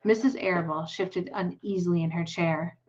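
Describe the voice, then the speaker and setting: A woman who teaches, reading a children's novel aloud in a clear, steady way. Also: fairly low pitch, normal speed, highs cut off, slight background noise. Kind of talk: read-aloud storybook narration.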